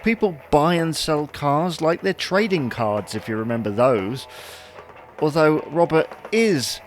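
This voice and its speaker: A man's voice: on the high side, lively intonation, unhurried. A man playing a chatty, fussy, wryly puzzled husband in an audio sitcom. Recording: noticeable background noise.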